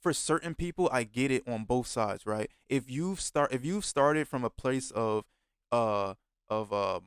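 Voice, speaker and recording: Guy ranting over a clip he's watching, swears a lot, smooth clear voice, dry close mic. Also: mid-range voice, lively intonation, a little slow, dry recording. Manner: blunt, heavy swearing, exasperated. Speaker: streamer reacting to a video. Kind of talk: casual reaction commentary.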